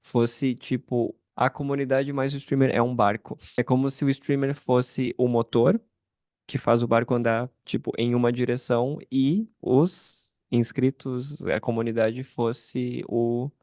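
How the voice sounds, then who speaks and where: A man's voice: mid-range voice, little pitch movement, unhurried, a little mellow, no echo, studio quality. A man hosting a casual conversational interview, speaking informally and thinking aloud as he goes. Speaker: podcast host, interviewer and content creator.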